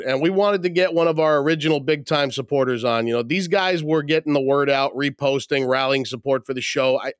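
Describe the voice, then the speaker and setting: A man hosting a casual podcast, joking with his co-host and introducing the episode while still sick, his voice a little rough. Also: medium pitch, dry recording, studio quality. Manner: laid-back, joking, swears casually. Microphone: remote call, mutes own mic.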